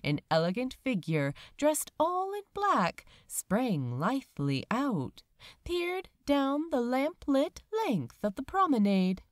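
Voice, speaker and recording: A woman reading fan fiction aloud as a narrator, with measured, expressive storytelling delivery. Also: sits high, no echo, studio quality. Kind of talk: scripted audiobook-style narration.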